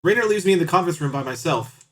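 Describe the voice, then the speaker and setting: Higher-pitched man, playful livestream banter. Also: animated, very fast. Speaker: livestream host reading out game and chat choices. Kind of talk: casual stream chat and commentary on a visual novel.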